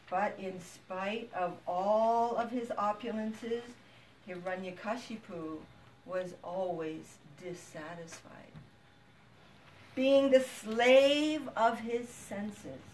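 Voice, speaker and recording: A woman narrating a scripted puppet-show story in an expressive storybook manner. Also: on the high side. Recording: distant microphone, background noise.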